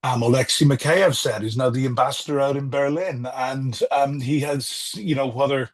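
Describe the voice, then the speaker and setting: A middle-aged man, a former diplomat, talking as a guest over a video call, measured and hesitant with many fillers and restarts. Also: mid-range voice. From Ireland or Britain. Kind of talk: remote interview, political commentary.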